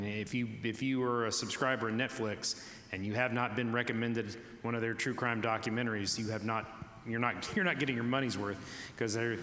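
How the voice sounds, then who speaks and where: A man's voice: medium pitch, fairly bright tone, a little hiss or hum. A man moderating a panel in a conversational style. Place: large reverberant festival venue. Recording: echoey hall with long reverb.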